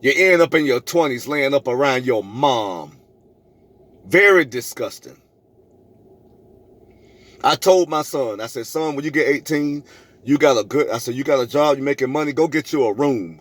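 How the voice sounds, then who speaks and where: A middle-aged man speaks as a vlogger recounting personal stories. His delivery is emphatic and preachy, with phrases repeated for weight. He re-enacts dialogue as he goes, in a medium-pitched voice. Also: expressive, moderate pace, fairly bright tone. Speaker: vlogger telling a personal story. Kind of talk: animated storytelling to camera, re-enacting arguments.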